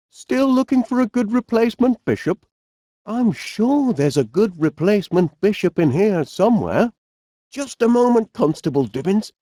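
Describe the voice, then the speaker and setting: A man's voice: high-pitched, warm tone, dry recording, clean studio sound. A man voicing a cheerful, fussy middle-aged inventor with a Northern English accent in a video game, genial and bumbling. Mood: genial, musing. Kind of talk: animated video game character voice lines.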